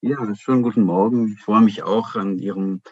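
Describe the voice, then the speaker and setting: A man's voice: fairly low pitch, fairly even intonation, moderate pace, a little mellow, breathy edge, no echo. A man from Israel, a professor joining remotely, answering thoughtfully with some hesitation. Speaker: professor, guest. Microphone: remote connection. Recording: dull dry remote line.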